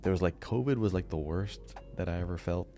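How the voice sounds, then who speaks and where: A man's voice: low voice, a little fast, warm tone, faint music behind. A man co-hosting a podcast in an informal, conversational, casual chat style. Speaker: podcast co-host.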